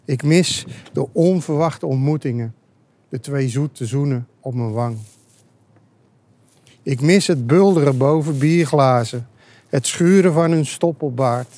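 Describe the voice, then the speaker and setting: Male poet for verse recital: medium pitch, unhurried, deliberate phrasing. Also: dark tone. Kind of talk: poetry recital.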